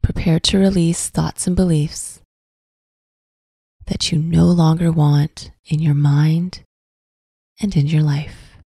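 A woman narrating a scripted guided visualization in a soft, breathy, soothing voice, with deliberate phrasing and long pauses. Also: sits low, little pitch movement, no room sound. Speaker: guided meditation host.

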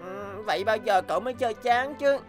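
A woman voicing an earnest, easily indignant schoolboy in a cartoon, expressive and plaintive. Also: mid-range voice, brisk, close to an everyday voice. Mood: pleading. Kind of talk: animated cartoon dialogue. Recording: background music under dialogue.

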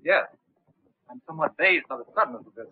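An actor in a 1930s film playing a suspicious young nephew from a wealthy household, speaking in a clipped way. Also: male voice, on the high side, expressive, fast talker, husky. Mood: dazed. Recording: tinny, narrow-band 1930s film soundtrack.

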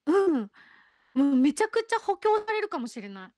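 A woman hosting a radio show, chatting casually in a playful, animated and teasing way. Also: high voice, fairly quick, no room sound, clean studio sound.

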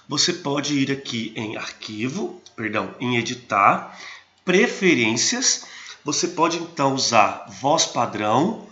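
A man from Brazil walks through software on screen in a tutorial voice-over, restarting words often. His tone is bright and forceful. The recording sounds dull and muffled. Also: medium pitch. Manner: hesitant, frequent restarts and fillers. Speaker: host of a geotechnology channel.

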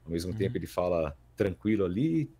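A man's voice: sits low, expressive, brisk, mellow. A Brazilian man in his thirties chatting casually as a podcast guest, repeating words as he thinks aloud. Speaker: voice actor guest. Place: small plain-walled room indoors.

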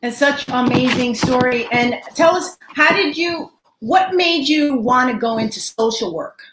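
Middle-aged woman hosting over a webcam, somewhat high-pitched, with an effusive, unhurried delivery. Her voice carries a noticeable echo from a roomy home study. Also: expressive, a bit bright, slight background noise. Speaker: host. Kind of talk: interview host introducing a guest. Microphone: video call webcam. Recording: echoey room.

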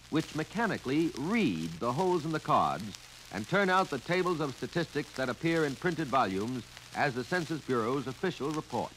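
An American man narrating a 1950 instructional film in a clear, instructive manner that addresses the listener directly. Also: fairly high pitch. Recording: noisy 1950 filmstrip soundtrack, steady crackle.

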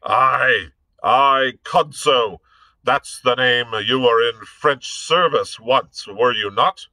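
A man's voice: mid-range voice, slow, no echo, pitched above the performer's own voice, full character voice. A man reading aloud, putting on a heavy, hectoring voice for a blustering, bullying dragoon captain. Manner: loud, sneering.

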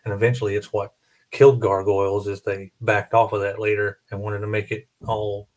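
A man's voice: fairly low pitch, flat delivery, fairly quick, a little mellow. A middle-aged man hosting a casual panel chat, rambling a little as he thinks aloud. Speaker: host of a collector panel. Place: small home room, indoors, video call. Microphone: studio mic on boom arm close up.

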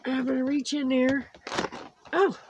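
A woman hosting a casual craft video, her voice worn from losing it after surgery, slowly chatting and cooing over small crafts. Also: sits high, level tone, highs cut off, noisy background. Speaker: crafting channel host. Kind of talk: casual unboxing chat. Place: indoors at a tabletop craft setup.

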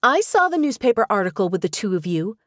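A woman voicing Victoria, a bitter ex-wife who speaks in a sharp, accusing way. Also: mid-range voice, very animated, fast, no room sound, clean studio sound, pitched above the performer's own voice, close to an everyday voice. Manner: accusing, cutting.

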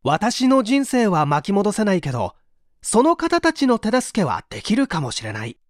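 A narrator reading a book aloud from a script, with clearly phrased, measured delivery. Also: mid-range voice, very animated, no room sound, studio quality. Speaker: audiobook narrator.

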